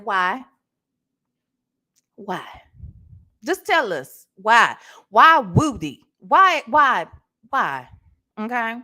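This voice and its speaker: A woman's voice: fairly high pitch, pushed and bright. A woman doing casual gossip commentary as an online host, sassy, slangy and profane, punctuating her points with emphatic rhetorical check-ins. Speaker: online commentator hosting a reaction show.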